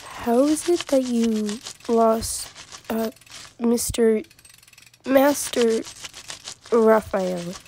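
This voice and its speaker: A woman's voice: on the high side, drawn-out words, pauses often, warm tone, a little hiss or hum. A woman voicing a polite, curious young apprentice in a cartoon comic dub, respectful and hesitant. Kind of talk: fan comic dub acting.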